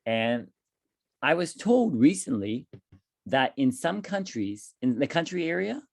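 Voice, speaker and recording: A man's voice: mid-range voice, a little slow, creaky, dry recording, studio quality. A man, an English teacher chatting casually with his student and asking lots of questions. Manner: chatty, repeats and restarts, lively rising questions.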